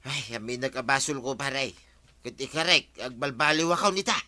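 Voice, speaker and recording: A man's voice: mid-range voice, normal speed, pushed and bright, dry recording. An actor playing a sheepish middle-aged man caught stealing fish, apologizing and pleading, with an Ilocano accent.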